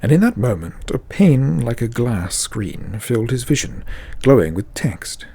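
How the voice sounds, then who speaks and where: A man's voice: mid-range voice, sing-song, measured pace, creaky, dark tone, breathy edge, dry recording. A man narrating a scripted fantasy audio drama as the storyteller.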